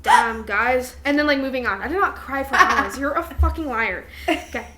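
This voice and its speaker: A young adult woman co-hosting a podcast in casual chat with a friend, with an animated, exclamatory, candid way of talking and casual swearing. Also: high voice, normal speed, slight background noise. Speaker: podcast co-host.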